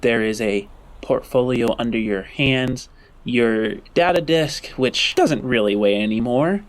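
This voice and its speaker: A man narrating a tabletop roleplaying game as game master, with dramatic pauses and deliberate phrasing. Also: mid-range voice.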